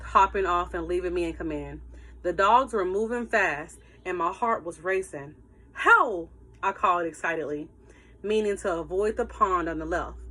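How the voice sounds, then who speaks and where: A woman teacher reading a story aloud to children in an animated, emphatic way, with asides to her listeners. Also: medium pitch, poor audio.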